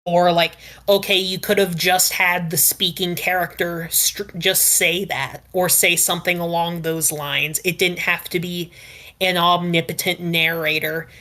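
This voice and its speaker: Podcast panelist: woman with a lowish voice, chatting through opinions in a measured, qualifying manner. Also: little pitch movement, pushed and bright. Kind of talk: casual panel discussion giving opinions.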